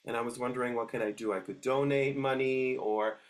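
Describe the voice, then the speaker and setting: A man's voice: mid-range voice, fairly even intonation, fairly quick. Middle-aged man, casual interview talk, hesitant with restarts. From Switzerland. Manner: hesitant, many restarts and fillers. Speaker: dog trainer guest.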